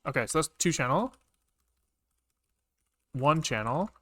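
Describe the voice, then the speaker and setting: A man's voice: fairly high pitch, lively intonation, measured pace, sharp tone, dry recording. A man thinking aloud as he programs on a livestream, muttering code in fragments and swearing casually, close to a desk microphone.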